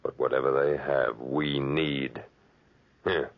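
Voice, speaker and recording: An actor playing a huge, sinister murderous robber, deep and gravelly, slow and menacing, in a 1940s radio drama. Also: male voice, fairly even intonation, full character voice. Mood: coldly menacing. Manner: slow, menacing, matter-of-fact. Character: huge sinister man, murderous robber who drugs guests. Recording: muffled vintage 1940s radio recording.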